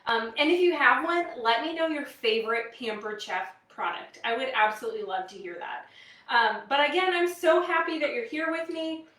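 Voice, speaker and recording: A woman's voice: sits high, moderate pace, a bit bright. A woman in her thirties with a Wisconsin accent, chatting casually and upbeat as she hosts a live product demo for viewers. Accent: American Midwestern, Wisconsin. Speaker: live demo host, direct-sales kitchenware consultant. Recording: roomy echo, distant microphone.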